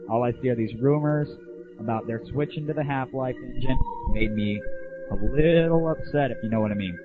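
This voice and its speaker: A man's voice: mid-range voice, huge pitch swings, fairly quick, music in the background. A man hosting a gaming audio show, delivering an informal solo rant in a crude, sarcastic, exaggerated griping manner. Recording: dull lo-fi 1990s internet audio, phone-like.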